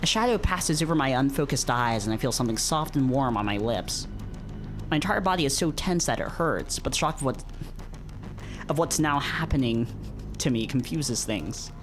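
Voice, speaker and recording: A man's voice: medium pitch, expressive, normal speed, over music, natural voice. Man reading as a solemn, brooding story narrator. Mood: tense. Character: brooding, dazed narrator of a visual novel. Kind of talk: dramatic literary read-aloud narration.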